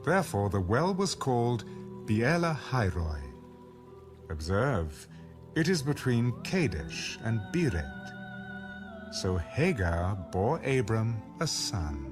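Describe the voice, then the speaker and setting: A man narrating scripture in a measured, solemn storytelling style, as for a dramatized audio Bible. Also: on the low side, very animated. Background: background music.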